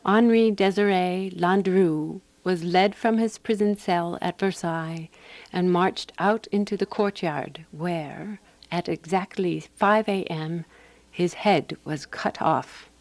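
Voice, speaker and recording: A woman actor playing a composed, wry woman who narrates a radio drama in a measured, dry manner. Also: fairly low pitch, a little mellow, dry recording. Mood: dry, satisfied.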